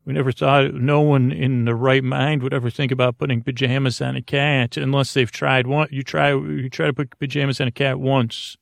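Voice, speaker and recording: Creaky-voiced man rambling softly to sleep. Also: mid-range voice, flat delivery, dry recording. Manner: meandering, halting, stammering, repeats himself; soothing, friendly. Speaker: podcast host. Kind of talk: rambling bedtime monologue.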